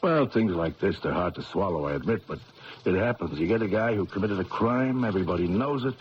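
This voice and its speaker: An actor playing a world-weary, skeptical police lieutenant in a radio drama, plain-spoken and questioning but deferential. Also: male voice, sits low, a little fast, close to an everyday voice. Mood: wary, resigned. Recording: muffled old radio recording with hiss.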